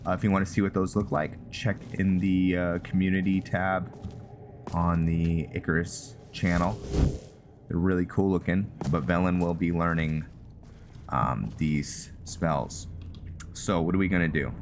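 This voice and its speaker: A man hosting a gaming channel, giving casual roleplay commentary in a halting way, thinking aloud as he plays. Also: deep voice, fairly even intonation, noisy background.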